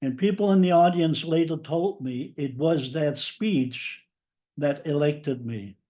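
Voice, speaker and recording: A man speaks slowly and thoughtfully on a video call, his voice a bit high. The call audio is dull and lo-fi. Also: warm tone. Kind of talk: casual meeting talk.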